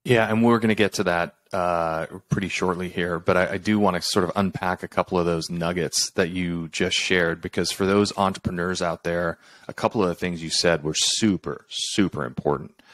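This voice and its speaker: A man's voice: low-pitched, fairly even intonation, moderate pace, hardly any pauses, a bit bright, no room sound. A middle-aged man hosting a podcast, upbeat and coaching, acting out listeners' thoughts as he unpacks points. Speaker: podcast host. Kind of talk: conversational interview hosting. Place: room at home, indoors.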